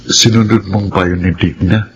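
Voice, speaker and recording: Deep-voiced devoted suitor. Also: male voice, a little slow, highs cut off, a little hiss or hum. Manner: calm and earnest. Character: patient, devoted young suitor. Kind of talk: radio drama acting.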